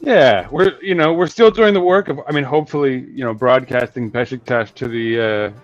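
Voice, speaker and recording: A man's voice: mid-range voice, moderate pace, no room sound, highs cut off, soft background music. A man co-hosting a football podcast in casual chat, talking with many "you know" and "right?" fillers. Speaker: podcast co-host.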